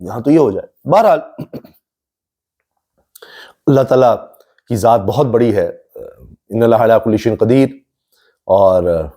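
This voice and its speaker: A man's voice: medium pitch, mellow. A man with a Pakistani accent delivering a political commentary monologue as a host, earnest and explanatory, repeating phrases for emphasis.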